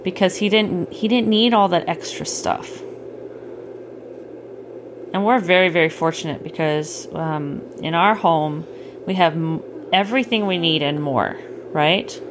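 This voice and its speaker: A middle-aged woman chatting casually with a child at bedtime, gently explaining things and checking in with questions. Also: on the low side, a little slow. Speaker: parent hosting a bedtime story podcast. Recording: clearly noisy home recording.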